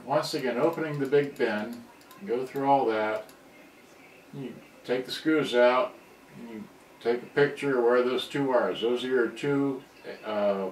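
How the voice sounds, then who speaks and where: A man giving casual, off-the-cuff commentary as a repair hobbyist, talking in a rambling, halting way. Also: medium pitch. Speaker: electronics repair hobbyist presenting. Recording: roomy, distant mic, faint noise.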